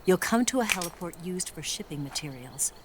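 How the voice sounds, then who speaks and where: Low-voiced, cool female spy, played by a woman. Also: animated, brisk, noisy background, lightly acted. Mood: briefing, matter-of-fact. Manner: poised, coy. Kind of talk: video game cutscene acting. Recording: night insect ambience behind the voice.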